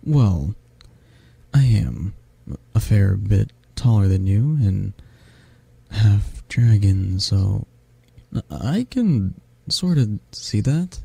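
A man as a gravelly, low, flirty half-dragon. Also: lively intonation, no echo, exaggerated voice. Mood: gentle, reassuring. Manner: flirty, gentle, teasing, halting. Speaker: roleplay voice actor. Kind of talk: intimate ASMR roleplay.

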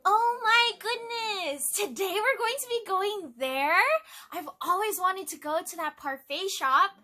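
Woman acting an excitable, high-pitched anime girl. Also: measured pace, exaggerated voice. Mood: giddy, happy. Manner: exclamatory, dramatic.

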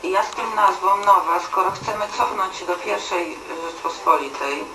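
A woman's voice: on the low side, moderate pace. Hesitant middle-aged woman objecting at a table. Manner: hesitant, objecting, many fillers. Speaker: meeting participant. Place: banquet hall at a table. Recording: roomy and distant, faint hiss.

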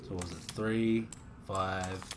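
A young man streaming a horror game, chatting casually and reacting in a jumpy, excitable way, muttering to himself. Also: deep voice, slow. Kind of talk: casual gameplay commentary. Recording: game music playing behind voice.

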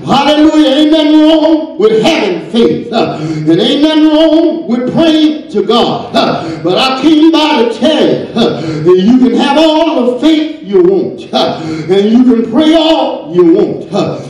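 A middle-aged man preaching a sermon from a church pulpit into a handheld microphone, punctuating every phrase with "uh" and "praise the name of God," often shouting. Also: extremely high pitch, sing-song, measured pace, a crowd in the background. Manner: shouting, gesturing with raised hand. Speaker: pastor. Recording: very echoey church hall, from a distance.

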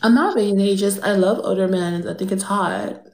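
A woman's voice: medium pitch, moderate pace, darkish tone, reverberant, close to an everyday voice. A young woman playing a vain, spoiled rich girl who drawls with lots of "like" and "um" in an improvised livestream bit. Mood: smug, airy. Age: young adult. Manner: airy, drawling, full of "like" and "um". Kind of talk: improvised comedic character on a livestream. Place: indoor room with pink lights. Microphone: phone front camera.

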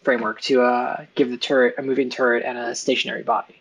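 A man co-hosting a casual coding chat, explaining his changes in a relaxed way with plenty of fillers, speaking over a video call. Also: medium pitch, fast, creaky, husky. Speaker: co-host of a game dev stream.

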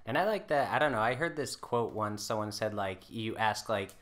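A man with a fairly low but bright, forceful voice chats as a podcast guest. He is informal and self-deprecating, scattering "like" through his sentences. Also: lively intonation, normal speed. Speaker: podcast guest, folk musician. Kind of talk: casual chat.

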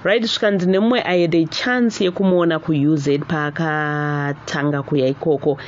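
A woman's voice: sits low, steady tone, moderate pace. A middle-aged woman with a Zimbabwean accent hosts a radio talk show. She moves between Shona and English in a live call-in style. The studio sound is a little dull, with faint noise underneath. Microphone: studio microphone on boom arm.

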